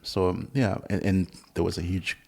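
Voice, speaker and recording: A man in his thirties, originally from Zimbabwe, speaks as a guest with a slightly low voice. He talks in halting restarts and laughs easily, recorded indoors in a conductor's room. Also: level tone, vocal fry, darkish tone. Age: 30s. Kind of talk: casual interview conversation.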